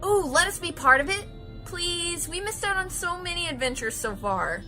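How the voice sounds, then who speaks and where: A woman's voice: very high voice, expressive, moderate pace, music in the background. A woman playing an eager, forthright young female adventuring companion in an audio comedy, insistent and outspoken. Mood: pleading, aggrieved.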